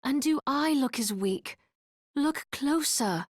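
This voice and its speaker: A woman's voice: sits high, lively intonation, slow, no room sound, studio quality. A woman acting a firm, protective ally who speaks earnestly and formally in a video game cutscene. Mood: stern, rebuking.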